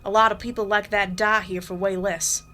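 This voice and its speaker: A woman's voice: medium pitch, little pitch movement, normal speed, bright, forceful tone, soft background music, lightly acted. A woman playing a curt, jaded household maid in a radio drama, clipped and sardonic. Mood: guarded, jaded.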